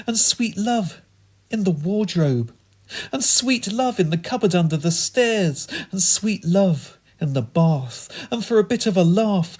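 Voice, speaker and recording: A man performing comic spoken-word poetry in a theatrical, whimsical and deliberate manner. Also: high-pitched, darkish tone. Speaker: performance poet.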